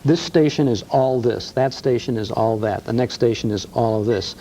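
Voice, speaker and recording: A man's voice: mid-range voice, normal speed, dark tone, slight background noise, poor audio. A middle-aged man, a radio host chatting enthusiastically as an interview guest and telling stories with many fillers. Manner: enthusiastic, storytelling, frequent uh fillers. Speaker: radio host and museum co-founder. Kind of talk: casual interview chat.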